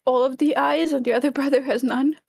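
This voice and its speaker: A woman's voice: high-pitched, fairly even intonation, fairly quick, creaky, a little mellow, dry recording, studio quality. A woman co-hosting a casual livestream, bantering in a playful, blunt, innocent-sounding way. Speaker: guest co-host reacting to game trailers. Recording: music behind the voice. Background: music.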